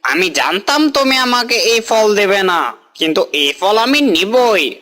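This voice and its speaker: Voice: mid-range voice, big pitch swings, measured pace, sharp tone. An actor voicing a greedy, pushy village boy in a moral-story cartoon, cajoling and demanding.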